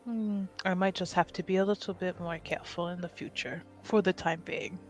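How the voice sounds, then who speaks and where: A woman playing a composed, fondly teasing noblewoman in a tabletop game, speaking in a measured, dry way. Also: fairly low pitch, fairly even intonation, faint music behind, natural voice. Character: composed, gently teasing noblewoman, fond elder confidante.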